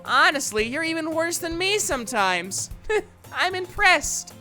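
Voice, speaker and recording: A woman voicing a bubbly, airheaded high-school girl, cheerful and pleading, in a visual novel fan dub. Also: sing-song, measured pace, pushed and bright, faint music behind, exaggerated voice. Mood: teasing.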